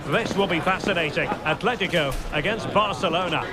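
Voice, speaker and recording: A man's voice: sits high, fairly quick, natural voice. A man playing an eager TV football commentator in a video game, giving a scripted, emphatic pre-match build-up. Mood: eager, dramatic. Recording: clear noise behind the voice.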